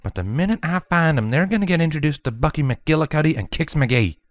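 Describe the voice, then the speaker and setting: A man's voice: fairly high pitch, animated, fairly quick, no room sound, pitched above the performer's own voice, close to an everyday voice. A man puts on a tough teenage girl with a thick Southern drawl, blunt and threatening. The voice is raised well above his own and recorded dry and close. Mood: gruff. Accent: American Southern.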